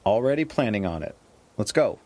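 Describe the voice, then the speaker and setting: An actor playing a cautious, worried man surviving on the road in an audio drama, with a husky voice and wary, questioning delivery. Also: lowish voice, sing-song, fairly quick, a little mellow, slight background noise. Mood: wary, tense. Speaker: supporting lead actor. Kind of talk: naturalistic audio drama dialogue.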